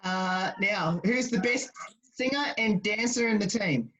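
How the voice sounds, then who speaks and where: A woman interviewing a team over a video call, asking questions with many pauses and fillers. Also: mid-range voice, fairly bright tone, highs cut off. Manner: hesitant, filler-heavy questioning. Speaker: radio interviewer.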